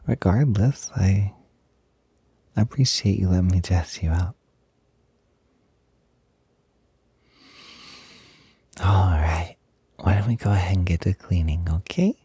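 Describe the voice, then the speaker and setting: A man playing an affectionate, smitten young roommate in an intimate roleplay audio, talking in a soft, breathy, gently hesitant and playful way. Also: fairly low pitch, no room sound, heavily acted. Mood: gentle, appreciative. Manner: gentle, hesitant, giggly, softly doting. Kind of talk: intimate roleplay audio, casual improvised-sounding chat.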